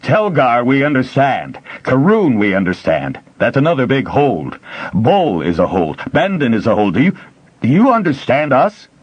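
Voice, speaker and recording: A man voicing a booming, excitable older master smith. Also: normal speed, few pauses, husky, dry recording, heavily acted. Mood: booming, eager. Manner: booming, eager.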